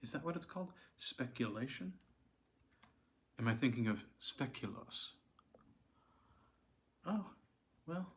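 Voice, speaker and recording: A man voicing a hushed onlooker who muses wryly about a silent man, half-whispering in a mock-puzzled way. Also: mid-range voice, expressive, takes it slowly, long pauses. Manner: wry mock-puzzled musing. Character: hushed male onlooker commenting on a quiet man.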